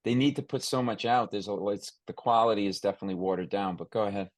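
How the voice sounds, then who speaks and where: A man's voice: lowish voice, quick pace, no echo, clean studio sound. An American man hosting a conversational podcast interview, talking emphatically and often restarting and repeating his phrases.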